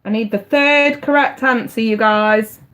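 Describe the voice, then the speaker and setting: A woman's voice: fairly high pitch, a little slow, fairly bright tone. A woman, casually hosting a live tarot stream, chatty and talking straight to her viewers, her voice bunged up with congestion. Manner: chatty, addresses viewers directly.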